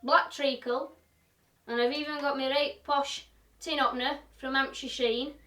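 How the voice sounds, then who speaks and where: A woman's voice: sits high, fairly even intonation, a little slow, background noise. A woman with a broad Yorkshire accent chatting her way through a live demo as host, in a workshop. Her manner is friendly and self-deprecating. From Yorkshire, England. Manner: chatty, self-deprecating, talks through what she is doing. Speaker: woodturner hosting a live demo. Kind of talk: chatty live-stream demonstration. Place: home workshop. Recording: echoey workshop, voice from a distance.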